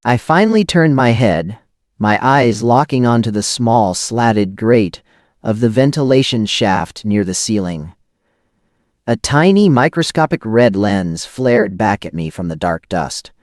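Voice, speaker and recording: A man's voice: medium pitch, a little mellow, no echo, clean studio sound. A man reading a first-person scripted thriller as an audio drama narrator, in tense, dramatic, clipped phrases.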